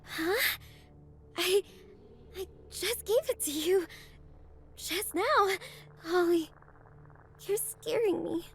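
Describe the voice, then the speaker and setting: A woman's voice: very high-pitched, slow, pauses often, a little hiss or hum, heavily acted. An actress playing a sweet, dreamy younger sister in a horror visual novel, girlish and lilting. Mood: confused, worried. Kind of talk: dramatic visual novel acting.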